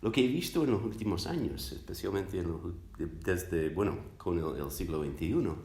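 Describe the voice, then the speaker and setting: A man from the United States, a native English speaker, answering interview questions as a scholar in a halting, thoughtful way, searching for words. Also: low voice, expressive, darkish tone. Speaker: interviewed academic, literature scholar. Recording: roomy, echoing sound.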